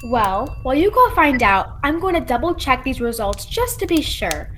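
A woman's voice: high-pitched, big pitch swings, moderate pace, a bit bright. A woman playing an assured doctor in an old-time radio mystery, explaining lab findings matter-of-factly. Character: assured scientific doctor reporting lab results. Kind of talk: 1940s radio drama acting. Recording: faint background noise and room ambience.

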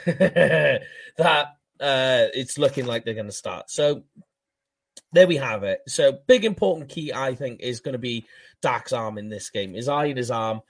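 A Welsh man with a Welsh accent co-hosting a fan podcast, talking football in a casual, animated way and laughing at himself. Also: medium pitch, dry recording. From Wales. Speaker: podcast co-host. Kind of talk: casual sports chat.

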